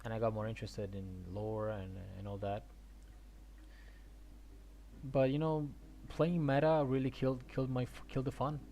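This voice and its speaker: A male game streamer from Argentina talks casually in a medium-pitched voice. He rambles and thinks aloud, often doubling back on his words. There is slight noise behind him. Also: fairly even intonation, normal speed, long pauses, warm tone. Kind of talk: casual rambling stream chat about games.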